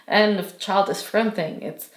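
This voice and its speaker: A woman's voice: medium pitch, moderate pace, roomy sound. A young woman with a French accent, a native French speaker, answering interview questions earnestly and thoughtfully, with many fillers and restarts.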